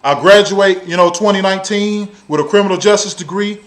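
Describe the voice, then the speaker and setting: High-pitched Southern US man, blunt and emphatic. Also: brisk. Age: 30s. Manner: blunt, profane, emphatic, repeats phrases for effect. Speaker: video blogger. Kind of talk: casual storytelling rant to viewers.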